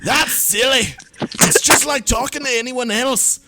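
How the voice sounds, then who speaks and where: Casting note: man doing a Scottish teen girl, high squeaky falsetto, loud and blunt. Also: a little slow, heavily acted. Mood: teasing. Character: teasing teenage Scottish girl.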